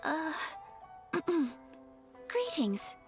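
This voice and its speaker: An actress playing a polite, demure young noblewoman in a video game, gentle, modest and formal in her phrasing. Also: sits high, big pitch swings, drawn-out words, heavily acted. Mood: flustered. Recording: faint game music behind.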